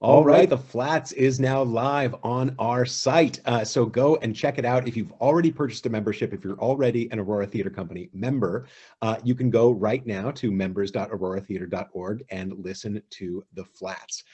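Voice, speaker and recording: A man hosting a live theater company show on a video call, speaking appreciatively in a halting way and making announcements. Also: medium pitch, dark tone, slightly rough.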